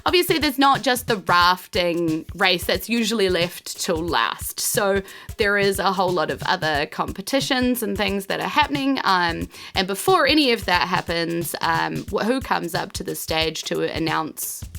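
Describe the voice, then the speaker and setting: A woman's voice: lowish voice, normal speed, creaky, fairly bright tone. A woman with a New Zealand accent narrating a tabletop game as game master, informal and conversational.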